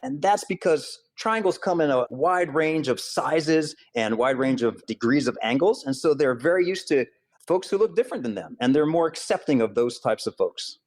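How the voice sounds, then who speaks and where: A man from Hawaii, an author and school counselor, answering interview questions in an earnest, steady way. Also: fairly high pitch, moderate pace.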